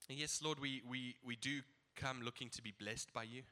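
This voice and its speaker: Male preacher with a head cold, nasal and bright, chatty with laughing asides. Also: medium pitch, fairly even intonation, normal speed. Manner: casual, chuckling asides. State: stuffy blocked nose. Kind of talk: sermon.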